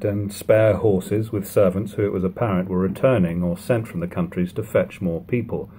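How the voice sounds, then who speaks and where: A man in his thirties reading a classic novel aloud at home, in a measured, steady way, letting sentence ends fall quiet. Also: fairly low pitch, a little hiss or hum. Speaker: audiobook reader. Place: living room at home.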